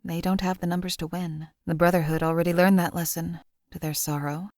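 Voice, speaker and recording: A woman's voice: lowish voice, flat delivery, fairly quick, a little mellow, dry recording, lightly acted. A woman voicing a cold, detached female assassin companion in a video game, talking in a dry, coolly contemptuous way.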